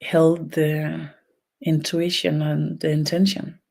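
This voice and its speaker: Danish accent energy healer doing guided meditation, really slow w long pauses, mellow gravelly voice. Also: medium pitch, little pitch movement. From Denmark. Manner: halting, with long pauses between short phrases. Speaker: spiritual energy healer leading an online session.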